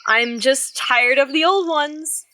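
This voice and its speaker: Sharp-witted teasing wife played by a woman, high voice, dry audio-drama banter, faint noise and birdsong behind. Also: slow, full character voice, low-quality recording.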